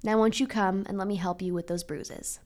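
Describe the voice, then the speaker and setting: A woman voicing a gentle, loving father in a storybook, speaking patiently and reassuringly. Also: mid-range voice, steady tone, brisk, close to an everyday voice. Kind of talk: storybook character reading.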